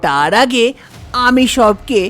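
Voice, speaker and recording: A woman's voice: a little high, lively intonation, slow, a bit bright, soft background music. A woman voicing an eager, reassuring young husband in a cartoon folk tale. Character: eager, reassuring male suitor, devoted new husband.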